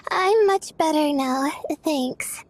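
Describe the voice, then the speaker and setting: A woman's voice: high voice, slow pace, slight background noise. A woman voicing a drowsy, timid young woman student who sounds exhausted and weepy, stammering hesitantly. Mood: sleepy. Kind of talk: game cutscene dialogue.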